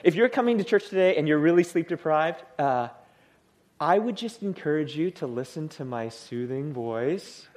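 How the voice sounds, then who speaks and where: A man preaching a sermon in a church with a soothing voice. He talks in a humorous way and acts out the people he describes. His pitch sits a little high and rises and falls a great deal. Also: darkish tone. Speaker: pastor.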